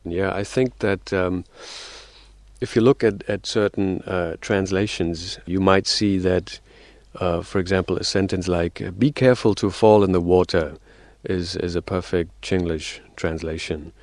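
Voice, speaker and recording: A man's voice: deep voice, steady tone, measured pace, a little mellow, no room sound. A man as a guest on a radio interview, explaining at length with frequent fillers and restarts. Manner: hesitant, many restarts and fillers; explains in numbered theories.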